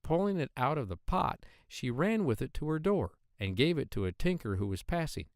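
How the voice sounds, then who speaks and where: A man narrating a children's storybook in an expressive storytelling manner. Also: mid-range voice, no echo, clean studio sound. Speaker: audiobook narrator of children's tales.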